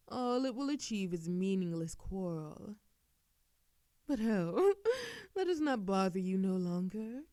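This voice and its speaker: Woman as mellow, condescending ancient vampire queen. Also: mid-range voice, no room sound, studio quality, close to an everyday voice. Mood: calmly scolding. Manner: formal, patronizing. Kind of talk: ASMR audio roleplay acting.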